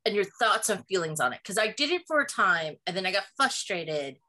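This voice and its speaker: A woman's voice: medium pitch, sing-song, fairly quick, background noise. A woman co-hosting a casual conversational podcast, talking in an emphatic way. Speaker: podcast co-host.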